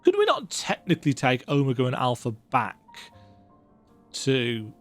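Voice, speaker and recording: A man's voice: a little slow, soft background music. A man casually reacting to a game as he plays, musing aloud in a chatty way. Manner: chatty, musing aloud, wildly animated pitch. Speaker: streamer playing a game.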